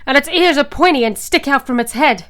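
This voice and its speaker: A woman's voice: high-pitched, animated, a little fast, fairly bright tone. A woman playing a frank, bold monster hunter in an audio drama.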